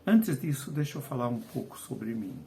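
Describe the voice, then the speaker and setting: An older man from Portugal, his accent softened by decades in Brazil, gives a conversational video lesson as a writer and educator, often tagging questions and slowing down to stress key phrases. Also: mid-range voice, expressive, gravelly, a little hiss or hum. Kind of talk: video lesson on short fiction.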